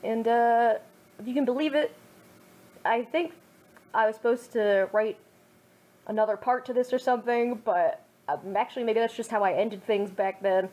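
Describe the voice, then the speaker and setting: A young woman reading her own fan fiction aloud in a fairly high voice. Between passages she drops into wry, self-mocking asides full of little fillers. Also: normal speed, a little mellow.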